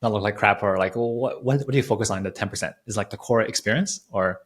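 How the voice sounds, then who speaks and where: Podcast host guy, lowish voice, talks quick, super informal, stumbles over his questions a bit. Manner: informal, halting, repeats words mid-question. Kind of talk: casual chat interview.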